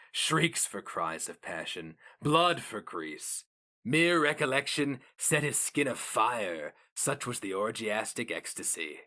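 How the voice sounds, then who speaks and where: A man giving a solemn, theatrical and deliberate dramatic reading of a fantasy story. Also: medium pitch, bright, forceful tone. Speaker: narrator.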